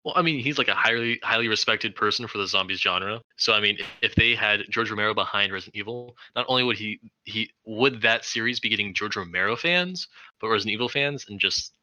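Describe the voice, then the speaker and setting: A young man around twenty chats about films on a podcast. His voice is fairly low but very bright and forceful, and the sound is dry and close. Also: a little fast, dry recording, low-quality recording. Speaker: podcast co-host. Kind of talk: casual film discussion.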